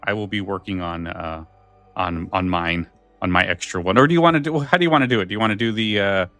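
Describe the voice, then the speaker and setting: A man's voice: sits low, quick pace, bright, forceful tone, clean tone, dry recording, soft background music. A man hosting a podcast in casual chat, often backtracking and repeating phrases as he goes. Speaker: podcast host.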